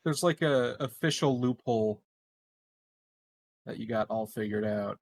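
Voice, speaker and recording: A man's voice: medium pitch, a little slow, creaky. A man chatting casually as a player in a tabletop role-playing game.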